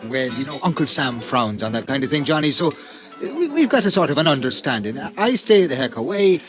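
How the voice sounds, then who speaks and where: An actor playing a wistful, hesitant middle-aged ex-pilot in a 1950s radio drama. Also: male voice, a little high, very animated, fairly quick. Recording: dull old radio recording with music.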